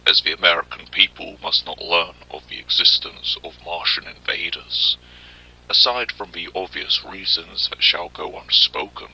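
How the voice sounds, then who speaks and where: A man playing a grim government war office briefer, delivering a formal, measured and ominous scripted briefing in a gritty voice. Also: deep voice, sharp tone, breathy edge, full character voice, rough recording. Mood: grave, urgent. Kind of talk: scripted military briefing narration, analog horror.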